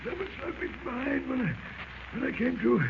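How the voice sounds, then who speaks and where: An actor playing a dazed, frightened night watchman, gasping out halting phrases in a strained voice, 1940s radio serial style. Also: sing-song, full character voice. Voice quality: creaky, strained, high. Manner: halting, breathless, broken phrases. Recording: dull, noisy old radio recording.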